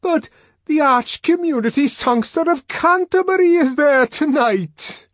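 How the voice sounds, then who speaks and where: Man acting out desperate little man, high strained shout, wheedling and wailing, swooping pitch, storybook drama. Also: expressive, measured pace, dry recording, clean studio sound, heavily acted. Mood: despairing wail. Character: desperate small man pleading loudly through a locked door.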